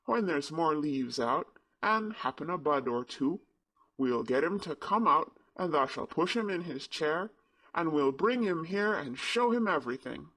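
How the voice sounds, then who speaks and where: A man's voice: fairly high pitch, animated, a little slow, full character voice, low-quality recording. A man voicing a cheerful Yorkshire country boy who speaks in broad dialect. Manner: broad dialect, eager and warm.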